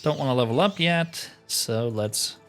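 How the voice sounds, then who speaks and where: A man's voice: mid-range voice, lively intonation, unhurried, soft background music. A man giving casual commentary as he plays a roleplaying video game. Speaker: gaming video host. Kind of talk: casual roleplay gameplay commentary, reading dialogue aloud.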